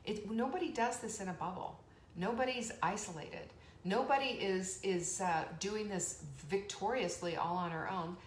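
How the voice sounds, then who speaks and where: A woman hosting a live online motivational show, chatty and energetic, laughing often and reading out viewer comments. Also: fairly low pitch. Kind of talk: motivational live-stream talk. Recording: echoey room with faint background noise.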